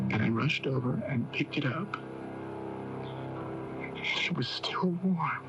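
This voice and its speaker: An actor playing a troubled, withdrawn young man in a radio mystery drama, haunted and evasive, speaking haltingly and defensively. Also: on the high side. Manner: halting, defensive, repeating himself. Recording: muffled 1980s AM radio tape, music behind.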